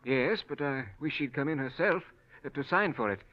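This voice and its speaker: A man's voice: fairly high pitch, normal speed, close to an everyday voice. Fussy old-radio pharmacist, acted by a man, muffled. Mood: cautious. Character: cautious, fussy male drugstore pharmacist. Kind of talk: 1940s radio drama acting. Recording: dull lo-fi old radio recording.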